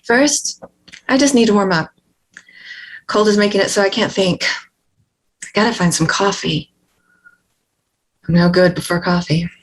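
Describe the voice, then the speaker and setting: A woman's voice: mid-range voice, breathy edge, poor audio. A woman playing a determined, world-weary prison runaway in a screenplay table read, terse and guarded.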